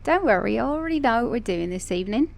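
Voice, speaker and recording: A woman's voice: a little high, lively intonation, fast, creaky. A woman with a mixed Australian and British accent playing a flirty, sassy, teasing car mechanic in an ASMR romance roleplay. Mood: playful, flirty. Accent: Australian-British mix. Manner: flirty, teasing, playful, with soft chuckles.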